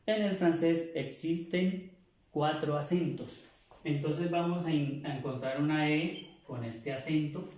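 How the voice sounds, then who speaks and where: Slow, echoey man explaining pronunciation. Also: on the high side, a little mellow. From Latin America. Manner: repeats rules, corrects himself mid-sentence. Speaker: French teacher. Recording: very echoey room.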